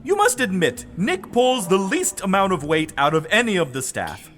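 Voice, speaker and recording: A man's voice: high-pitched, big pitch swings, normal speed, a bit bright, soft background music. An actor playing a pompous, fussy man in an audio drama, with formal, precise, haughty speech. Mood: indignant. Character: pompous, fussy male museum staffer, Edmund.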